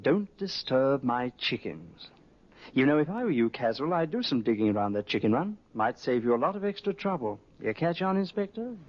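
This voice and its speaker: An actor playing a sly, confident, needling investigator in an old radio drama. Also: male voice, medium pitch, big pitch swings, moderate pace. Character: sly, needling, confident man prodding suspects and police. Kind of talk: 1950s radio drama acting. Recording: muffled vintage radio recording.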